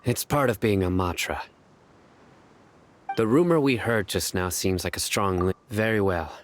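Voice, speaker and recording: An actor playing a stern, stoic young lawman in a video game, speaking in a terse, measured, deadpan way. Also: low voice, slight background noise.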